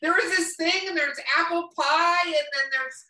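A woman's voice: extremely high pitch, moderate pace, bright, forceful tone. A woman hosting a casual podcast chat in a teasing, joking manner. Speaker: podcast host. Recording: roomy echo, distant from mic.

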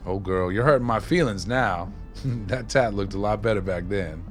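A man's voice: lowish voice, moderate pace, faint music behind, natural voice. An actor playing a streetwise, wry former maintenance man, telling stories in a folksy, colloquial way in a radio play. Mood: teasing. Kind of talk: radio play dialogue, reminiscing.

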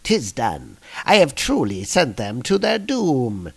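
A man's voice: medium pitch, wildly swooping pitch, slow pace, creaky, slightly rough, exaggerated voice. A man voicing a gloating, scheming usurper prince in a comic-book story, with haughty, theatrical archaic speech.

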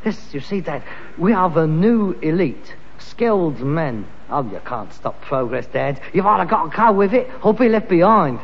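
A man's voice: a little high, big pitch swings, normal speed. An actor with a London accent playing a frustrated, pretentious grown-up son of a rag-and-bone man in a British sitcom, grand one moment and exasperated the next. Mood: defiant, boastful. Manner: affected grandness slipping into working-class exasperation. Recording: muffled, hissy, reverberant archive comedy recording.

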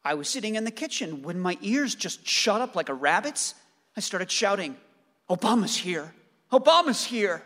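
An actor playing an excitable, adoring neighbor in a radio play, earnest and effusive in his devotion. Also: high voice, normal speed, big-room sound, full character voice.

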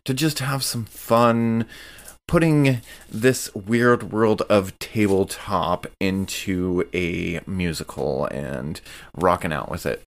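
A man's voice: deep voice, slow. A man and tabletop game player talking with upbeat enthusiasm on a podcast. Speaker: player and musical performer. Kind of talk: casual podcast chat.

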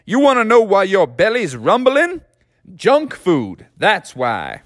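A bossy drumstick leader who booms out lectures, as a man does the voice. His voice is pitched up and loud, with a preachy, scolding tone fit for a kids' storybook. The sound is dry and close. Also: wildly swooping pitch, slow, no echo, full character voice. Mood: stern. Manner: booming, lecturing.

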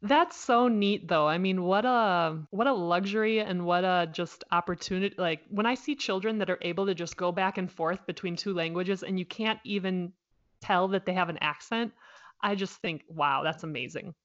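A woman's voice: lowish voice, normal speed. Curious woman host, slightly muffled sound. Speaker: podcast host. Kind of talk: casual interview chat.

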